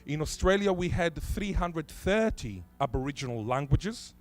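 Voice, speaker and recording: A man whose first language is Hebrew lectures at a conference, his voice sitting a little high for a man. He poses rhetorical questions and leans on key words. Faint music runs beneath the talk. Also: expressive. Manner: rhetorical questions, deliberate emphasis. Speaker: conference speaker.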